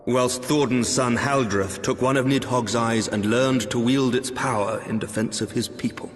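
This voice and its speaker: An actor playing an earnest, principled knightly son in a fantasy game, with a formal, archaic delivery. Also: male voice, mid-range voice, fairly even intonation, moderate pace, lightly acted. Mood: sober, guarded. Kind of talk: dramatic fantasy game acting. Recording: long hall reverb, music behind.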